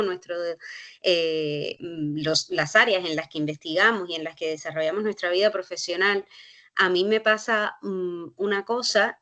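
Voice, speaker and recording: A researcher from Cuba speaks with a Cuban accent at a workshop panel. She reflects carefully and pauses often to find the right word. She sounds measured and thoughtful as she thinks aloud. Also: lowish voice. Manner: thoughtful, searching for words with many pauses and fillers.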